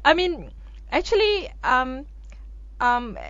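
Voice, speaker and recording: A woman's voice: high-pitched, very animated, slow pace, a bit bright, dry recording, highs cut off. A woman co-hosting a radio current affairs discussion. She gives firm opinions and explains with everyday analogies. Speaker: radio show co-host.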